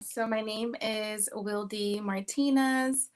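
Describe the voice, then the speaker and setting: A woman in her forties from New York City telling her life story in a relaxed way, with many ums, over a video call. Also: medium pitch, slow pace. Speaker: summit speaker. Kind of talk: personal story.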